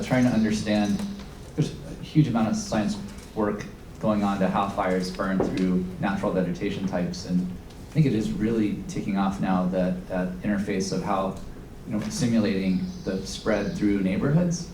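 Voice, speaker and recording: A man on a panel answering a question thoughtfully, with fillers and pauses. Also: fairly low pitch, steady tone. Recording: echoey hall, far from mic, noise.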